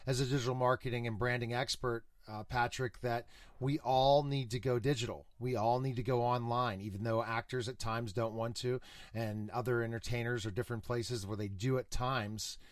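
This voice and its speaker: A man's voice: mid-range voice, fairly even intonation, normal speed, dry recording. A man hosting a radio interview in a chatty, promotional way. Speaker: radio show host.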